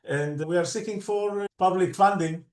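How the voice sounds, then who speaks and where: A man's voice: sits high, normal speed. A middle-aged man with an Israeli accent, a native Hebrew speaker, earnestly explaining his book project in an interview and often restarting and rephrasing his sentences. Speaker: book co-author and security expert. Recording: roomy echo.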